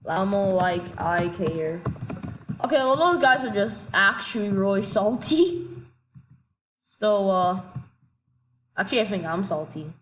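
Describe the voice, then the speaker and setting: A woman giving casual gameplay commentary, excitable and quick to shout in frustration, a little sick. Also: medium pitch, a little hiss or hum, poor audio. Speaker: gaming commentator.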